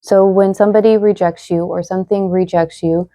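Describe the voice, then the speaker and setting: A young woman giving a casual tarot reading to viewers, chatty and full of fillers, slightly hoarse as she loses her voice. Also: medium pitch, steady tone, brisk, dark tone, dry recording. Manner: chatty, many fillers, reads card messages aloud. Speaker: tarot reader.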